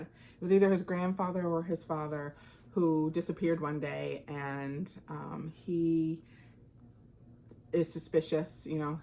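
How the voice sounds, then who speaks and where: A woman with a low, slightly gravelly voice talks casually in the manner of a book vlogger. She is conversational and thinks out loud as she goes. There is faint noise behind her. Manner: informal, conversational, thinking aloud. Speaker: book reviewer. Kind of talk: casual book chat vlog.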